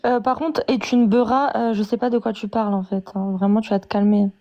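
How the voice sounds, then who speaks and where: A woman's voice: medium pitch, steady tone, brisk, dark tone, dull audio. Direct woman with a Paris suburban accent. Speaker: guest on a live stream. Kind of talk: casual live-stream chat.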